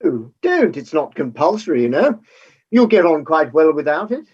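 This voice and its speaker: A woman playing a courteous, earnest old gentleman colonel in a staged comedy reading, husky and hesitant. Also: sits low, sing-song, moderate pace, dull audio, full character voice. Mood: reassuring. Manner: hesitant, polite, stammering starts.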